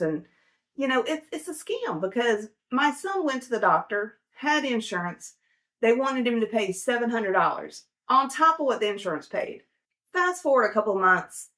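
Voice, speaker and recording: A woman's voice: medium pitch, fairly bright tone. A woman in her forties giving casual, down-to-earth money advice, chatty and full of fillers. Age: 40s. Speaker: personal finance host. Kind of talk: casual advice talk to camera.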